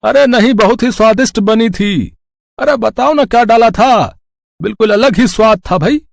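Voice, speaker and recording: A man voicing a gentle, hopeful village husband and father in a cartoon moral story. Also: high voice, moderate pace, creaky, no room sound, clean studio sound. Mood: delighted.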